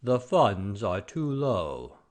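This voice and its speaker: An actor playing a proud, sullen gentleman gambler, curt and stubborn, in a satirical audio play. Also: on the low side, sing-song, takes it slowly. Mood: sheepish.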